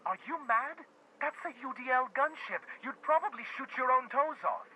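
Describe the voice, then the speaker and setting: A man's voice: extremely high pitch, lively intonation, normal speed, sharp tone, slight background noise, exaggerated voice, low-quality recording. An actor playing an anxious, sensible male colonist calling over a radio, speaking with urgency. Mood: alarmed. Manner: urgent, worried.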